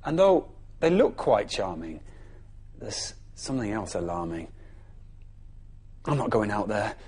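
A man in his thirties with an English accent, telling a bedtime story to young children in an expressive, dramatic way, often dropping soft and low. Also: measured pace, slightly rough. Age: 30s. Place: television studio.

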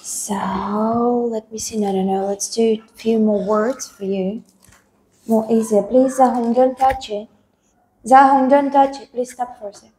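A woman's voice: on the high side, fairly even intonation, a little slow, warm tone, echoey room, slight background noise, poor audio. A woman in her thirties teaching English to a small child one-on-one, patiently sounding out words letter by letter in a small classroom, with a clip-on mic. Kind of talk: one-on-one phonics lesson with a young child. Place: small classroom with a world map on the wall.

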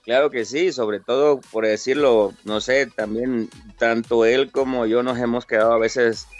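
A man's voice: medium pitch, fairly even intonation, measured pace, faint music behind. Easygoing Mexican man, chatty. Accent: Mexican Spanish. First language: Italian-born, raised in Mexico. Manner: friendly, repeats words, frequent fillers. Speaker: interview guest, top game player. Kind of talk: casual interview answers.

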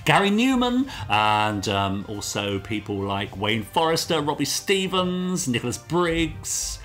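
A man's voice: slow, faint music behind. A man co-hosting a podcast, playful and teasing in a casual chat. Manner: playful, teasing, very lively pitch. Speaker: podcast co-host.

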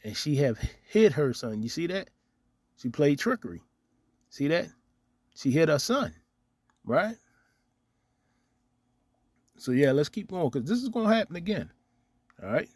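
A man's voice: a little high, big pitch swings, unhurried, pauses often, a little mellow, breathy edge, dry recording, studio quality. A man giving casual religious commentary on the news, reading scripture aloud and tagging nearly every point with "okay?". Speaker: online preacher commenting on news. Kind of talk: casual religious commentary over screen recording.

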